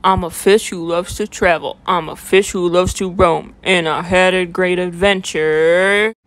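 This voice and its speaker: A woman's voice: fairly low pitch, fairly even intonation, a little slow, a bit bright. A woman voicing an earnest travel-loving fish in rhythmic rhyme for a children's storybook. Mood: content. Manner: earnest, rhythmic rhyming delivery.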